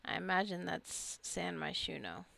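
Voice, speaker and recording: A woman's voice: fairly low pitch, lively intonation, a little slow, creaky, fairly bright tone. A woman giving casual gameplay commentary, rambling and thinking aloud as she plays. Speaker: gamer narrating her own gameplay.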